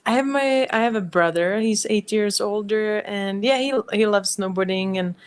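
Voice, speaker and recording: A woman's voice: mid-range voice, normal speed, clear, resonant tone. A young woman from the Czech Republic with a Czech accent, a snowboarder chatting casually in an interview, full of "like" fillers. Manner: relaxed, chatty, many "like" fillers. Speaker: guest, professional snowboarder.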